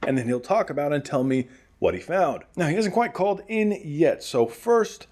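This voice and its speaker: A man playing an affable, earnest radio show host in a post-apocalyptic comedy drama, chatty, rambling and gently teasing. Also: fairly high pitch, very animated, moderate pace. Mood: rambling, upbeat.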